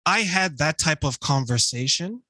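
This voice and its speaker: A man hosting a live stream interview, relaxed, laughing easily and asking long questions. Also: on the high side, moderate pace, no room sound, clean studio sound. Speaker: show host. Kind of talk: conversational interview.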